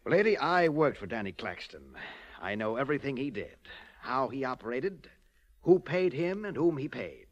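A man's voice: mid-range voice, big pitch swings, normal speed, lo-fi sound, close to an everyday voice. An actor playing an ingratiating underworld henchman in a 1940s radio crime drama, hesitant and insinuating. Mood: ingratiating, nervous. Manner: hesitant, insinuating, sly chuckles. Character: oily, ingratiating male underworld henchman seeking favour.

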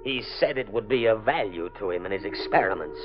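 An actor as a low-voiced, troubled father. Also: expressive, fairly quick, over music, close to an everyday voice. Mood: tense, haunted. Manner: hesitant, tense, defensive. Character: troubled, desperate father, a failed painter. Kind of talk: 1940s radio drama acting. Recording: muffled 1940s radio recording.

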